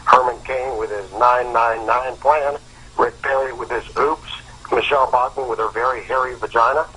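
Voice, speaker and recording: A man on a call to a phone-in show, giving political commentary in an earnest, deliberate way. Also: mid-range voice, fairly even intonation, pushed and bright, slightly rough. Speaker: phone-in caller. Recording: phone call with faint noise.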